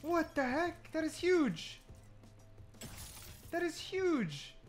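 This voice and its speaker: A man doing excited gameplay commentary, thinking aloud and often repeating himself. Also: very high voice, very slow, faint music behind. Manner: repetitive, exclamatory, thinking aloud. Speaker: gaming content creator testing game mechanics.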